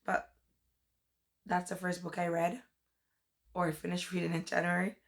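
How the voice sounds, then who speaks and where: A young woman whose voice is hoarse from a cold, chatting casually and rambling a little as she reviews books in a vlog. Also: lowish voice, monotone, normal speed. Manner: chatty, rambling, says "like" and "um" often. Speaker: book vlogger.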